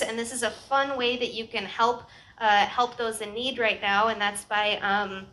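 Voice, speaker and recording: High-pitched American woman pastor addressing her congregation. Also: bright, forceful tone, rough recording. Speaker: pastor leading a church service. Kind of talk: informal church announcements and blessing to a congregation. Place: outdoor church patio.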